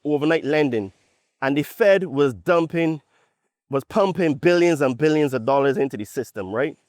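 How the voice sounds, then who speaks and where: A man's voice: fairly high pitch, dry recording, studio quality. A man from Barbados with a Bajan accent gives an opinionated podcast monologue, conversational and emphatic, often checking his points with a tag question. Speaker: podcast host.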